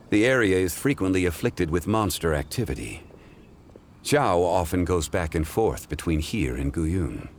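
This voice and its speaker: A man voicing an ancient, wise, scholarly gentleman in a video game cutscene, speaking in a formal, measured and reflective way. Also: low-pitched, a little hiss or hum. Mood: calm, reflective. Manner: formal, measured, eloquent, contemplative.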